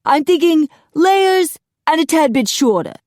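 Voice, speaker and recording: A woman's voice: sits high, animated, a little slow, darkish tone, no room sound, clean studio sound, pitched above the performer's own voice. A woman voicing a flamboyant, fussy young male hair stylist in an audiobook, camp, dramatic and effusive. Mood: appraising.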